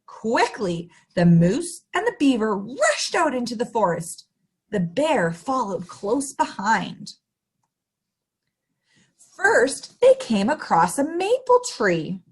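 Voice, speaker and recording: A woman's voice: fairly high pitch, wildly swooping pitch, slow pace, breathy edge. A woman hosting story time, reading to young children in an exaggerated, sing-song, interactive way. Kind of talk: animated picture-book read-aloud for young children.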